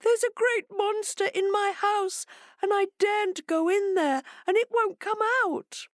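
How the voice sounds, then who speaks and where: Brief: woman voicing a panicky female hare, very high and wailing, storybook style. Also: unhurried, no echo, clean studio sound. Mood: wailing, frightened. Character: frightened tearful female hare.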